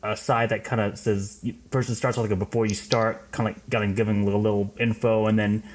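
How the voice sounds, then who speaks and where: A man's voice: lowish voice, little pitch movement, quick pace, darkish tone, poor audio. A man hosting a podcast, explaining things casually in loose, filler-heavy phrasing.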